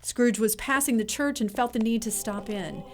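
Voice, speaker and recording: A woman's voice: on the high side, moderate pace. A woman narrating an audio drama in a scripted storytelling style. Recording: music playing behind the voice.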